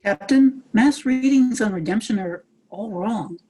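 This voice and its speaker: A woman's voice: mid-range voice, a little slow, warm tone, slightly rough, low-quality recording. A woman reading the part of a loyal starship crew officer making urgent reports. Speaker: actor in a table read.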